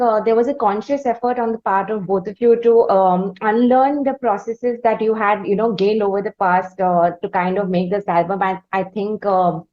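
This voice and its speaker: A woman interviewing in a conversational way, with deliberate, wordy, halting phrasing. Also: mid-range voice, a little mellow, lo-fi sound. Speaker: interviewer, podcast host.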